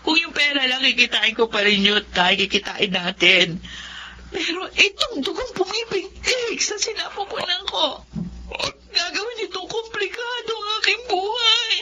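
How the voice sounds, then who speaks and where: High-voiced, emotional young bride-to-be. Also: female voice, normal speed, full character voice. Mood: tearful, bitter. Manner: expressive, emotional. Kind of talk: Tagalog radio drama acting. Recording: dull lo-fi audio, faint noise.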